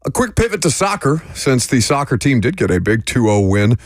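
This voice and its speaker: A man hosting a sports radio show, steering the talk with questions and quick playful asides. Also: medium pitch, big pitch swings, no echo, clean studio sound. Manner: conversational, asks questions, playful interjections. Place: radio studio. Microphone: studio microphone.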